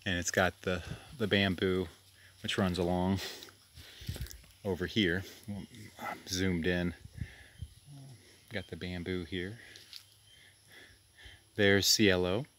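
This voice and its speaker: A man giving a relaxed, rambling tour of his farm by a creek, filmed on a handheld camera outdoors. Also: sits low, takes it slowly, pauses often. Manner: rambling, relaxed, self-deprecating with restarts. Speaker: farm vlogger. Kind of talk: casual walk-and-talk farm tour.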